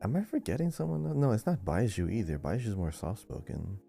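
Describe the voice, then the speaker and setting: A man answers podcast quiz questions, thinking aloud in a casual, halting way. His voice is low and very soft-edged, yet the pitch moves about in a lively way as he questions himself. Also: vocal fry, faint music behind. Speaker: podcast co-host, quiz contestant.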